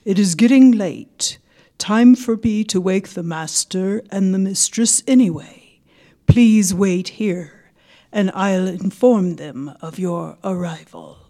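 A woman playing a huge, solemn male butler of a spooky castle, formal, deferential and unhurried. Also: mid-range voice, warm tone.

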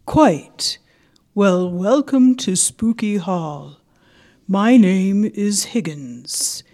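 A woman playing a huge, solemn male butler of a spooky castle, formal, deferential and unhurried. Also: medium pitch, expressive, warm tone.

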